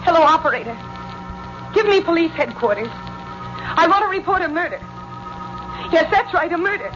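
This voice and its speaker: A woman's voice: very high-pitched, lively intonation, normal speed, over music. An actress playing a scornful, vengeful woman in a hard, dramatic 1940s radio style. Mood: cool, businesslike. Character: cold, vengeful woman who double-crosses her lover. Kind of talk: 1940s American radio acting. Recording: dull, noisy old radio recording.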